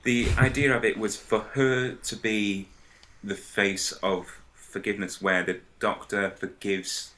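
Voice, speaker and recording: A man in a casual podcast discussion, explaining his ideas in a hesitant, halting way. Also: fairly low pitch, flat delivery, fairly bright tone, poor audio. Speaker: podcast panellist.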